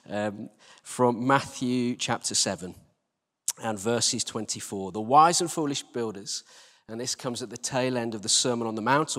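A British man giving an informal sermon as a church leader, conversational, self-deprecating and gently humorous. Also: mid-range voice. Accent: British English. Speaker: church team leader preaching. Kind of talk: informal sermon with Bible reading. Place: church hall, live service. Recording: live talk in reverberant hall.

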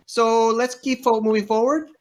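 A man's voice: very high-pitched, fairly even intonation, normal speed, background noise. A man hosting an online panel in a casual, unscripted way, chatty and encouraging with the speakers.

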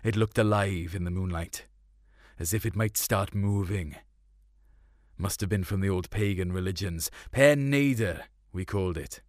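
A man's voice: low voice, normal speed, dry recording, studio quality. A man reading a first-person story in a colloquial, melancholic way. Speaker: short story narrator.

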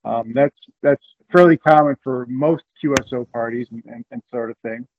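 A man giving explanatory interview answers in a halting way, repeating words. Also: mid-range voice, slight background noise. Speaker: podcast guest, amateur radio community coordinator. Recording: narrow, tinny phone-like line.

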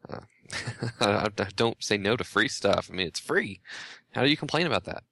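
A man talks in an easygoing way with a gravelly, bright-edged voice that sits fairly low. The recording is dry and close. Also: animated, moderate pace, dry recording. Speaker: podcast guest writer. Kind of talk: casual roundtable chat.

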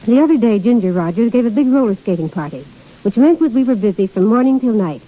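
A woman's voice: fairly high pitch, lively intonation, fast, narrow, tinny audio. A woman guest on a 1930s radio show, formally reading a scripted talk and product endorsement. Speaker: shop hostess, guest giving a product endorsement. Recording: band-limited 1930s radio recording.

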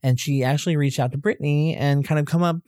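Very low-voiced woman podcaster. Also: fairly even intonation, fast talker, dark tone, dry recording, studio quality. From from the US East Coast. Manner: rambling, restarts, effusive. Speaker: podcast co-host. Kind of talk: casual chat.

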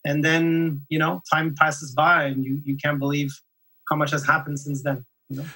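Bright, husky-voiced man talking reflectively. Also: fairly high pitch, level tone, moderate pace, low-quality recording. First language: French. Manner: reflective, many restarts and repeated words. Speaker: podcast guest. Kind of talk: conversational interview.

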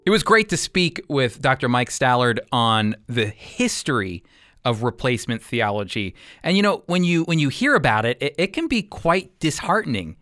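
A male radio host speaks in an earnest, explanatory way, like a conversational Bible teacher. His voice sits at a medium pitch, recorded close and dry with hardly any room sound. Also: big pitch swings, normal speed, a bit bright, no room sound.

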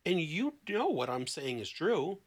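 A man speaking conversationally as a therapist on a podcast panel, blunt and emphatic, with deliberate pauses and rhetorical questions. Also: on the high side, very animated, breathy edge. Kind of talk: conversational podcast talk with acted-out client dialogue.

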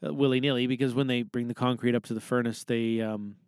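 A man's voice: mid-range voice, fairly even intonation, fast, a little mellow, smooth, no echo, clean studio sound. A Canadian man hosting a podcast, talking in a casual, rambling, self-deprecating way. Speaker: podcast host. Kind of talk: casual rambling solo chat. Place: small recording booth.

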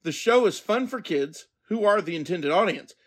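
Male podcast host, forties, high-pitched voice, scripted introductions. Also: expressive, normal speed, husky. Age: 40s.

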